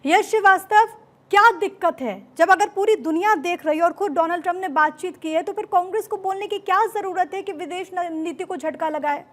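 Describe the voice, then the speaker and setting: A woman's voice: extremely high pitch, fairly quick, fairly bright tone. A woman anchoring a live TV news debate, putting pressing, pointed questions to guests.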